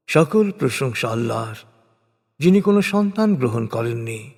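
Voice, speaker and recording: A man's voice, a little higher than most, rings with long hall reverb. He narrates translated scripture in a solemn, scripted style, very slowly. His phrasing is measured and deliberate, with clear pauses between phrases. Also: a little mellow.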